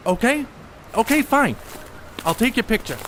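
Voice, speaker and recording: A scholarly, guarded ballplayer acted by a man in a period stage drama. He speaks high and expressively, in a precise, reproving way with some hesitation. Noise is audible on the recording. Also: sing-song, normal speed. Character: educated, fussy, exasperated male ballplayer scholar, secretive. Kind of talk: stage play acting, period dialogue.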